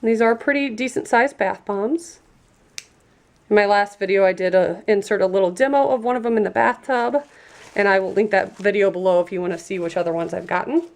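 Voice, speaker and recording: Female reviewer, congested and stuffy, friendly enthusiastic unboxing delivery, some gravel in the voice. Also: medium pitch, a little fast, a little mellow. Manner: chatty and enthusiastic. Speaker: product reviewer.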